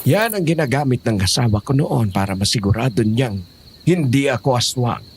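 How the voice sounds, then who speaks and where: A man's voice: mid-range voice, lively intonation, moderate pace, a little hiss or hum, natural voice. A man playing a teasing, protective old grandfather in an audio horror drama. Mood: grave, protective. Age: older. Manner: jokey, fond, cautioning.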